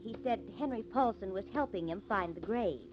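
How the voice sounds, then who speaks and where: An actress playing a curious, pert young wife and sleuthing sidekick, in 1950s radio drama style. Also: female voice, medium pitch, expressive, normal speed, background noise, natural voice. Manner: inquisitive, pert. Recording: muffled old radio recording.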